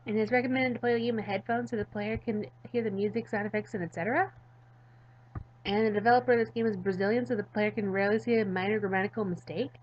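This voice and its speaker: A woman voicing a goofy, excitable, ditzy teenage schoolgirl from a visual novel, rambling and dramatic. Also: mid-range voice, quick pace, dull audio, a little hiss or hum, natural voice. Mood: puzzled, rambling.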